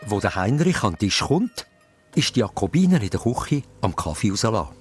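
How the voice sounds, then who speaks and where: A man's voice: lowish voice, sing-song, normal speed, music in the background. A man with a Swiss accent narrating a children's radio play as a suspenseful story.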